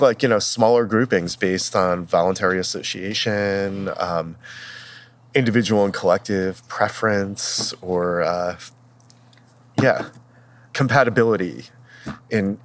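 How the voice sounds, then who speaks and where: A man speaking as a podcast panelist, explaining his thoughts reflectively in casual discussion. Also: lowish voice.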